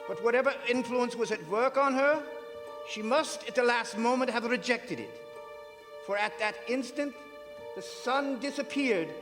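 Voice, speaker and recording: A grave, authoritative vampire hunter, acted by a man speaks with dramatic urgency in a very high-pitched male voice. His radio-play lines ring with long hall reverb, with music behind. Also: unhurried. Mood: solemn. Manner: solemn, imperative, dramatic. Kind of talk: stage radio drama acting. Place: performing arts centre stage.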